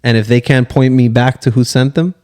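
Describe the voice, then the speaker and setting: A man's voice: mid-range voice, fairly even intonation, a little fast, darkish tone. A man co-hosting a casual faith podcast, talking in a preachy, exhorting yet conversational way. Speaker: podcast co-host.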